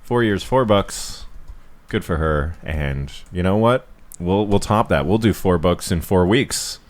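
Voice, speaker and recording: A man's voice: low-pitched, expressive, unhurried. A man co-hosting a podcast, chatting casually about books in a rambling, joking way. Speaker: podcast co-host. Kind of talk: casual book chat with a friend.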